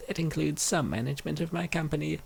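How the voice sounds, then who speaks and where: A man reads out comic dialogue in character. His voice is heavily fried and gravelly, set a little higher than most men's. Faint noise sits behind the recording. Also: fast. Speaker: comic dub voice actor. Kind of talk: acted reading of comic dialogue.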